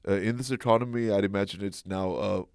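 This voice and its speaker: Deadpan fish patient, low, hesitant, improvised, played by a man. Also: fast talker, vocal fry, smooth, no room sound, clean studio sound. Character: male fish patient with sore hips. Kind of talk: improvised comedy sketch.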